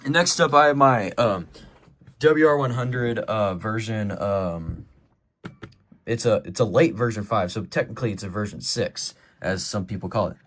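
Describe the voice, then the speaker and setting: Low-voiced man, rambling casual show-and-tell. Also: moderate pace, fairly bright tone, slight background noise. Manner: rambling, informal, opinionated. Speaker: collector presenting a weather radio collection.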